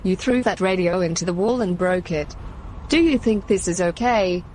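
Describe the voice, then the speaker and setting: A woman's voice: fairly low pitch, animated, moderate pace, darkish tone, a little hiss or hum, close to an everyday voice, poor audio. A woman playing an exasperated, strict mother scolding her son.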